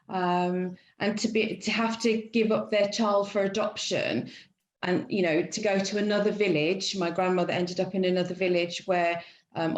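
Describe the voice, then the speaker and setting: A woman's voice: fairly low pitch, echoey room. A woman guest on a casual podcast talking reflectively and hesitantly, with many fillers and restarts. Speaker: student guest.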